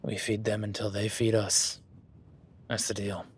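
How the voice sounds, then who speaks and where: A man voicing a rugged, protective cowboy rancher in a listener-addressed audio roleplay, talking in a low-key, calm, instructive way that often drops to a hush. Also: measured pace, breathy edge. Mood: firm. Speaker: ranch hand tracking a beast.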